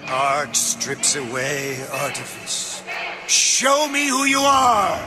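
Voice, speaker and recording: An actor playing a tyrannical, volatile mad artist in a video game, theatrical and grandiose, swinging between whispers and shrieks. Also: male voice, fairly high pitch, sing-song, slow. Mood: sly, menacing. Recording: loud music behind the voice.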